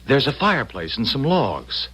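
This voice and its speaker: A man's voice: mid-range voice, wildly swooping pitch, normal speed, a bit bright, slight background noise. An actor playing an eager, wry male time traveler in an old-time radio drama, with affectionate banter. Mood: eager, curious.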